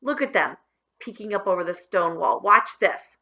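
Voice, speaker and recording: A woman's voice: mid-range voice, expressive, moderate pace, pushed and bright. A woman voicing a boastful, manic young boy from a children's storybook in a theatrical, declaiming manner. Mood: gleeful. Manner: theatrical, declaiming with repeated words.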